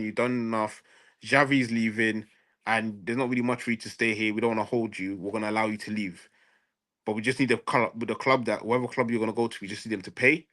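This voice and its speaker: A man's voice: mid-range voice, a bit bright. A man in a casual football transfer debate, speaking emphatically and repeating phrases for stress. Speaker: football fan show panelist.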